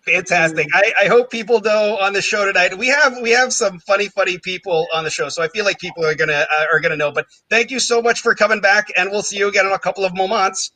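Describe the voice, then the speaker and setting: A high, bright man's voice runs a comedy game show with jokey, self-mocking patter, tossing off asides and "um"s as he goes. The sound is close and dry, with no room around it. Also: no room sound. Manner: quick, jokey, self-mocking banter with many fillers. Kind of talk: hosting a live comedy game show stream.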